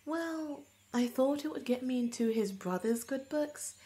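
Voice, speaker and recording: A woman's voice: sits high, expressive, unhurried, mellow. A woman playing a haughty, disdainful female warrior who scoffs in disgust, in an audio roleplay.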